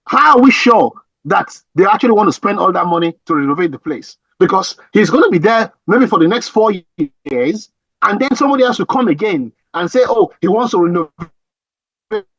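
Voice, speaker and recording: Husky high-voiced man. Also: animated, moderate pace, a bit bright, no room sound, highs cut off. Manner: emphatic, repeats phrases, many restarts. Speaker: guest commentator. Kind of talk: political commentary on a call.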